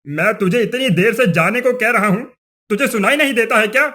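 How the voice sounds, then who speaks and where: A man's voice: sits high, brisk, pushed and bright. A man voicing a cruel, superstitious village headman in a cartoon story, scolding with contempt. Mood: impatient, threatening. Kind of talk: Hindi cartoon moral story dialogue.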